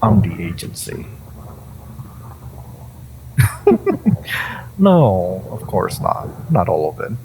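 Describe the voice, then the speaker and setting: Man acting as ancient inhuman overseer, gravelly, slow, possessive, condescending menace, audio roleplay, noisy background. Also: low-pitched, wildly swooping pitch, a little mellow, exaggerated voice. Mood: possessive, menacing. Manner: theatrical, condescending, halting with drawn-out menace and chuckles. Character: ancient possessive hive-mind entity, amused, menacing protector of humanity. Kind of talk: scripted audio roleplay addressed to the listener.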